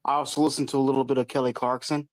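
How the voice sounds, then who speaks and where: A man's voice: medium pitch, flat delivery, racing, no room sound. A man chatting as a guest on a live show, easygoing and a bit hesitant. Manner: easygoing, hesitant, with fillers and restarts. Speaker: guest caller. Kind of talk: casual chat.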